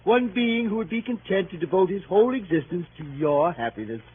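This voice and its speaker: An actor playing an amorous gentleman suitor who pleads in florid, theatrical speeches, in 1930s radio style. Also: high-pitched, animated, fairly quick. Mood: adoring. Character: amorous, flowery middle-aged gentleman suitor. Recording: tinny, noisy 1930s radio recording.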